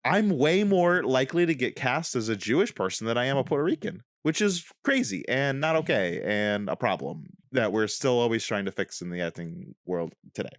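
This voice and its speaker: A young man talking in a lively, swinging voice on a podcast, rambling from one thought to the next and often restarting mid-sentence. The sound is close and dry, with no room around it. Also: mid-range voice, normal speed, few pauses, no echo. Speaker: podcast co-host. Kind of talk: casual chat.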